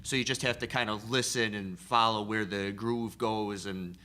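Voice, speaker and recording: A man's voice: fairly low pitch, fairly even intonation, moderate pace, sharp tone. A man, a guest musician in a music studio, explaining things in an easygoing way. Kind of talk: casual interview chat.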